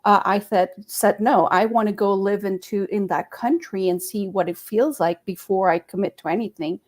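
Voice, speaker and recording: A woman's voice: mid-range voice, normal speed, a little mellow. A woman from Costa Rica, a panel guest, telling her story over a video call with occasional repeated words. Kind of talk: casual storytelling on a live web panel.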